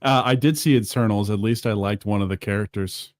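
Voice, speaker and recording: Blunt low-voiced man in a chat. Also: brisk, no echo, clean studio sound. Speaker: chat guest. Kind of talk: casual chat.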